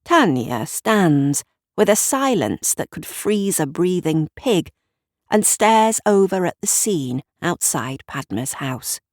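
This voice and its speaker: A woman narrating an audio drama in a deliberate, wry, conspiratorial storytelling style. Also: fairly low pitch, big pitch swings, a little mellow, no echo, studio quality. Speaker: writer and narrator.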